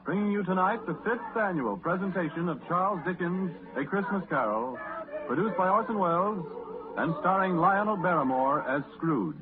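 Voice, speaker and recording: A man's voice: high-pitched, lively intonation, moderate pace. A man working as a radio announcer, speaking in formal 1930s broadcast style. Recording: noisy, muffled 1930s radio.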